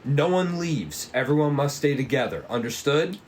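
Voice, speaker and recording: A man voicing a concerned schoolteacher who takes charge with authority in an animated script table read. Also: a little high, moderate pace, background noise. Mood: urgent, commanding.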